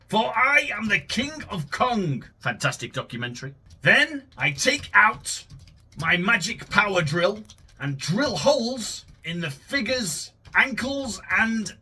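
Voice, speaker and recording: A man's voice: high voice, pushed and bright, slightly rough, recorded from a distance. A man playing an AI story game who jokes theatrically and swears freely. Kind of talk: casual, profane let's-play commentary.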